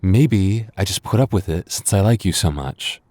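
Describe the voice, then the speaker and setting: Man playing a smitten, flustered young man, low voice. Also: lively intonation, normal speed, dark tone, no room sound. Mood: bashful teasing. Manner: stammering, hesitant, breathy sighs and shy chuckles. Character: smitten, flustered younger brother of listener's best friend, playfully submissive. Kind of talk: boyfriend ASMR roleplay acting.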